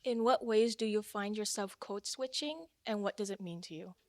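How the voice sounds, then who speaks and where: A young woman hosting a youth podcast, asking questions and explaining terms in a clear, friendly way. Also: medium pitch, moderate pace, dry recording. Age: young adult. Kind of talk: casual group discussion.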